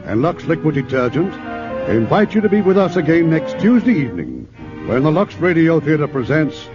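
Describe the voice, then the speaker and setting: A man hosting a 1950s radio theater show with a smooth, announcer-like delivery. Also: on the high side, sing-song, moderate pace, music in the background. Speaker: radio host. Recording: dull old radio broadcast.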